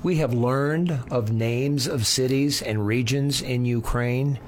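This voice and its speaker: A male radio host introduces his guest and leads the interview at a measured pace. His voice is mid-pitched and his delivery polished. A faint hum sits under the recording.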